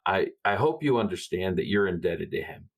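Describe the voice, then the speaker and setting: A man's voice: low-pitched, animated, brisk, creaky. A man playing in a tabletop roleplaying game, talking in and out of character. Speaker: player.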